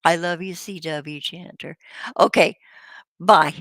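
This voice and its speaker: A woman's voice: on the low side, fairly even intonation, takes it slowly, fairly bright tone, no room sound. A woman hosting a casual livestream chat, rambling, laughing and swearing freely while she loses her voice. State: losing her voice. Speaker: host.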